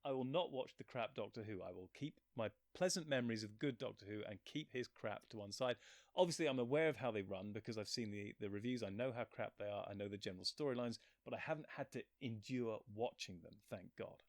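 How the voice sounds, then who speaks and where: A man in casual, opinionated chat as a guest, griping in an animated, blunt and sweary way. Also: fairly low pitch, brisk, dry recording, clean studio sound. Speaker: guest, fan-fiction author.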